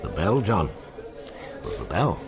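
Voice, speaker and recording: A man's voice: low-pitched, big pitch swings, measured pace, a crowd in the background, full character voice. An actor playing a seasoned, wistful seaman in a radio drama, telling tales in a musing, colloquial way. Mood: hushed awe, intense. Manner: musing, colloquial storytelling with seafaring turns of phrase. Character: seasoned old seaman, wistful, salty storyteller.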